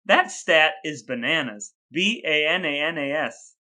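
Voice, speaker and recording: Lively, bright-voiced joking man. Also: fairly high pitch, measured pace. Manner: playful, quizzes and jokes. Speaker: podcast co-host. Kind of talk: casual chat.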